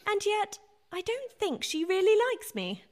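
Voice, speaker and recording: A woman's voice: very high voice, very animated, unhurried, exaggerated voice. A woman acting a self-dramatising romantic mistress in a radio play, melodramatic. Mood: adoring, mournful. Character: gushing, self-dramatising romantic young mistress, easily affronted.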